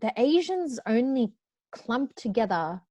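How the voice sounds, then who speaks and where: A woman's voice: a little high, sing-song, unhurried, crowd noise. A woman in her twenties with an Australian accent, chatting casually as a podcast co-host. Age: 20s. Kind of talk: casual group chat.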